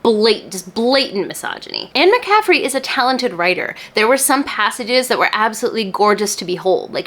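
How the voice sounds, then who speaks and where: A woman hosts a casual book vlog, speaking straight to the viewer at a medium pitch. Her delivery is chatty and animated, with emphatic stress on the words that matter to her. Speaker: book reviewer and video host.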